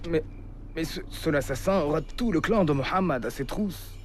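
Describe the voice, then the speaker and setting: A male actor plays an anxious clan elder in an animated drama. His voice is somewhat high and hesitant, with faint background noise. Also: normal speed, natural voice. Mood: worried, objecting. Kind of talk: French-dubbed animated historical drama.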